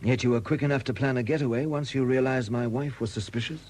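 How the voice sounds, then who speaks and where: An actor in a 1970s British radio drama playing a composed, probing chief superintendent who questions in a measured way. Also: male voice, mid-range voice, steady tone, a little mellow, slight background noise, lightly acted. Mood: calm, insistent.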